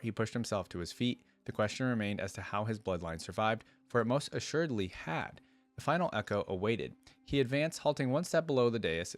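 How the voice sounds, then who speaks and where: A man reading a fantasy story aloud in a measured, dramatic way, with casual reaction asides as a fan video creator. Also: fairly low pitch.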